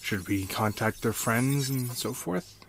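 A man's voice: medium pitch, measured pace, noisy background, lightly acted. An actor playing a concerned, deferential male space traveler in a sci-fi audio drama, serious and slightly hesitant.

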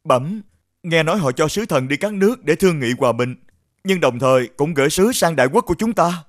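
An actor playing a formal, obsequious royal officer reporting to rulers in an animated fairy tale. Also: male voice, a little high, a little fast, natural voice. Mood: nervous, deferential.

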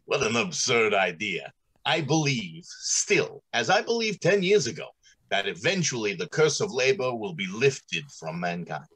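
A man's voice: mid-range voice, sing-song, unhurried, a bit bright, breathy edge, full character voice. A middle-aged man playing a confident, dismissive factory director in old-time radio drama style. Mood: breezy assurance. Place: small room at home, on a video call.

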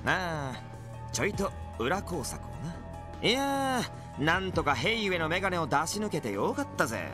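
A man's voice: high-pitched, sing-song, normal speed. A man playing a breezy, sly young intelligence agent in a game, flippant and smug. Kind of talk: Japanese game voice acting. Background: game music.

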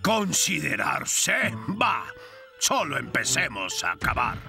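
Gravelly, blustering horned dinosaur. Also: male voice, a little high, wildly swooping pitch, slow, over music, full character voice. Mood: stubborn, irritated. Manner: blustering, dismissive. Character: gruff, stubborn adult male horned dinosaur. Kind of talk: animated film dub acting.

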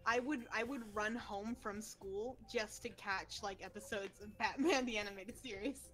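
A woman's voice: medium pitch, normal speed, a bit bright, low-quality recording. A woman in casual livestream chat, speaking in an informal, emphatic, slangy way. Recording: music behind the voice. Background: background music.

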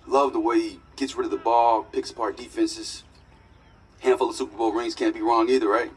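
A man's voice: mid-range voice, fairly even intonation, normal speed, breathy edge, far from the mic. A man playing a sincere, grateful young pro quarterback in a dramatic sports game. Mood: earnest. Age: young adult. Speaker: quarterback character in a story mode. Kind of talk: naturalistic dramatic game acting.

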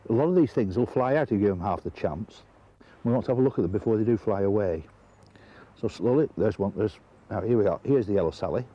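A man's voice: lowish voice, lively intonation, dull audio. Older man explaining river insects. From England. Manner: unhurried, explanatory, pointing things out. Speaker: fly fishing entomology presenter. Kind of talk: outdoor nature demonstration. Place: outdoors by an upland river among vegetation.

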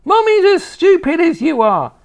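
A wicked, tiny old grandmother from a children's storybook, very high and creaky. She is bossy and scornful, stretching words out slowly with gleeful nastiness and huge swoops. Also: very animated, a little mellow, full character voice. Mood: gleefully wicked. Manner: snappish, scornful, drawn-out emphatic delivery.

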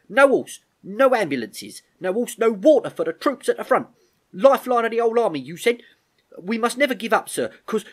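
A man's voice: very high voice, voice pushed up, full character voice. A man voicing an earnest young country soldier in a storybook, insistently but respectfully speaking up to an officer. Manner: earnest, insistent, rustic grammar.

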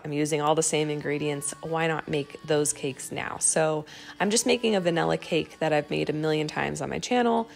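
A woman's voice: low-pitched, vocal fry. An American woman giving a casual recipe voice-over in a conversational, explanatory way, as a home baking vlogger.

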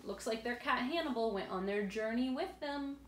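A woman's voice: on the high side, animated, moderate pace. A middle-aged woman reading a picture book aloud and explaining it to young students as their teacher. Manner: explanatory, addressing young children. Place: indoor room at home, by glass door. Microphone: camera a few feet away. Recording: roomy echo, faint room noise.

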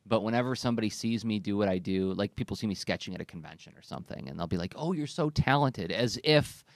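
A man's voice: on the low side, fast talker, no room sound. Man hosting, close dry sound. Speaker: podcast host. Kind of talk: conversational podcast discussion. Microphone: close studio microphone on an arm, with headphones.

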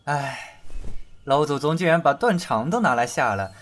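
A man's voice: a little high, expressive, moderate pace, lightly acted. An actor playing a flippant, sly young nobleman of a poison clan in an audio drama, nonchalant and teasing. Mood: resigned, teasing. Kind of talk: Chinese historical audio drama acting. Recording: background music under the voice.

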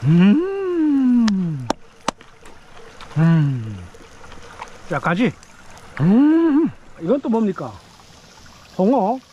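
A man's voice: high voice, wildly swooping pitch, drawn-out words, pauses often, muffled recording, background noise. A man vlogging on a rocky riverbank, chatting and exclaiming to himself as he eats and fishes, recorded on a head-mounted camera. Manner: chatty, exclaiming, talks to himself while eating and fishing. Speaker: fishing vlogger. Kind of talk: casual outdoor vlog narration. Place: rocky riverbank outdoors at night and day. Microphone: head-mounted action camera.

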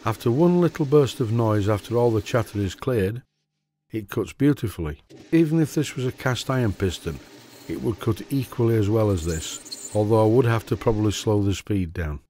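A middle-aged man with a Yorkshire accent, giving a steady, methodical workshop tutorial voice-over about model engine repair. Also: on the low side, normal speed, slight background noise. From Yorkshire, England. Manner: steady, methodical, plain-spoken instruction. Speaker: model engineering channel host.

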